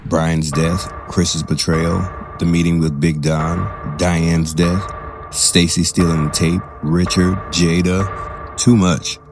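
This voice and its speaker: A man narrating a scripted drama in short, measured, dramatic phrases. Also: deep voice, flat delivery. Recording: music behind the voice.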